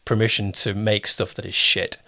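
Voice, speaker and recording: A British man talking as a casual interviewer, encouraging, often halting and circling round his point. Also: lowish voice, little pitch movement, pushed and bright. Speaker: interviewer, producer.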